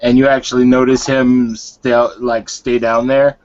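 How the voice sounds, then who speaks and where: A man's voice: mid-range voice, flat delivery, a little slow, darkish tone. A man casually narrating the action of a tabletop roleplay game. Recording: dull, lo-fi online call.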